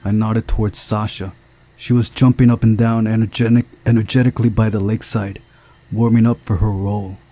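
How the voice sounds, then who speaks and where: A man reading a visual novel aloud as a narrated story, giving the characters voices, in a relaxed, unhurried way. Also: lowish voice.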